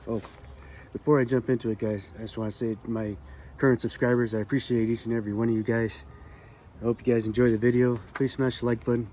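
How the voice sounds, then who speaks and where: A man hosting his own channel, reading magic history from a book aloud in a calm, relaxed manner. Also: medium pitch, fast talker, slight background noise. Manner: calm, relaxed delivery meant to soothe. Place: California.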